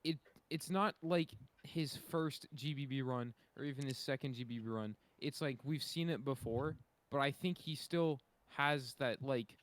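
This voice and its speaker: A man chatting casually as a podcast panelist, slangy and excitable, often doubling back on his words. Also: medium pitch, unhurried. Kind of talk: casual chat.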